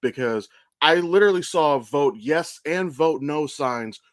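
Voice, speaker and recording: A man's voice: a little high, measured pace, no room sound. Blunt, profane American man in a dry recording. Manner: blunt, profane, animated storytelling. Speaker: podcast co-host. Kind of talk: casual chat.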